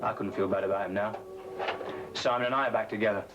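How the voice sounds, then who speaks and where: A man's voice: lowish voice, steady tone, fast talker, highs cut off, lightly acted. An actor with a British accent playing a harried male radio DJ who grumbles sarcastically and feels sorry for himself. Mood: subdued. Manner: sarcastic, self-pitying grumbling. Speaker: actor in a TV drama. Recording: dialogue under loud music.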